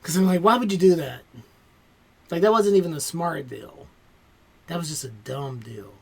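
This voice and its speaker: A man's voice: fairly high pitch, moderate pace, vocal fry, slightly rough. A man giving casual, exclamatory reaction commentary, talking back to the show he watches.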